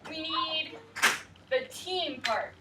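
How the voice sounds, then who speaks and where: A woman teacher leading a class of young children, slow and emphatic, asking the class questions. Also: sits high. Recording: distant classroom mic, faint noise.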